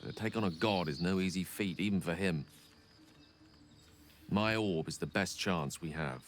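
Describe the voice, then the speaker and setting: A man's voice: low-pitched, moderate pace, slight background noise, natural voice. A man acting a weary, eloquent wizard facing his death in a video game, speaking in a formal, reflective and articulate manner. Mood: grave, resolved.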